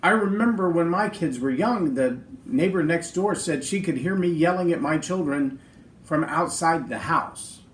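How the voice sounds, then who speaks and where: A man's voice: fairly high pitch, lively intonation, moderate pace, reverberant, low-quality recording. A middle-aged man with a big voice hosting a podcast, giving an earnest, emphatic motivational talk on leadership. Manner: earnest, emphatic, speaks in lists. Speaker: podcast host.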